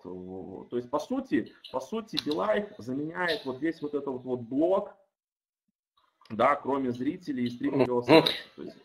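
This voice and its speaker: A man's voice: medium pitch, slight background noise, low-quality recording. A man who is a non-native speaker of Russian, a startup team lead, explaining his product casually over a video call with many fillers and restarts. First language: not Russian, though Russian-speaking.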